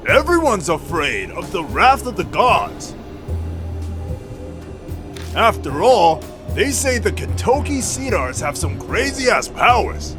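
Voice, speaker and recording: Excitable, superstitious teen boy sidekick , played by a man, high voice with wildly swinging intonation, slangy horror game dub. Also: takes it slowly, over music, full character voice. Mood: hesitant awe. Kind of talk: visual novel fan dub acting.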